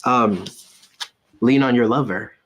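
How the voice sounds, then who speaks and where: A man giving a casual, intuitive tarot reading, halting and circling back to repeat his phrases. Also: medium pitch, fairly bright tone, highs cut off. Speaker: tarot reader and medium, host.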